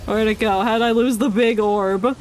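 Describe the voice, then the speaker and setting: A woman's voice: on the high side, fairly even intonation, moderate pace, darkish tone, a little hiss or hum. A woman giving casual live commentary on a game, thinking aloud as the game music plays behind. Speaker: game streamer.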